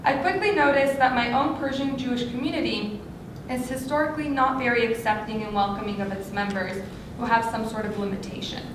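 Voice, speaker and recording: A young woman from Los Angeles giving an earnest prepared speech as a student speaker, with small slips. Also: a little high. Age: young adult. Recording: echoey hall, far from the mic, noisy.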